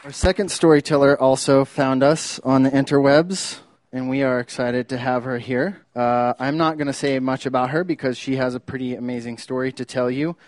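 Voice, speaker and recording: A man's voice: medium pitch, fairly even intonation, normal speed, darkish tone, no room sound. A man hosting a storytelling event, casually introducing the next speaker with a few fillers. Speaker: event host.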